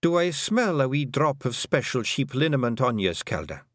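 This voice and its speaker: A man putting on a tiny old fairy matriarch for a storybook reading, pitched high above his own voice. She is bossy and shrewd, with a broad lilting rural brogue. The sound is close and dry. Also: sing-song, moderate pace, fairly bright tone, no room sound. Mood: weary, wry. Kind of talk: audiobook character voice.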